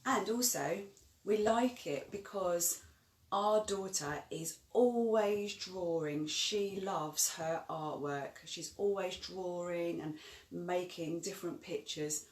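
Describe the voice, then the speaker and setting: Lower-voiced woman, distant in an echoey room. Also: slow. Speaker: co-presenter of a children's story. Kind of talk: informal introduction to camera. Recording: echoey, distant mic, faint noise, clicks.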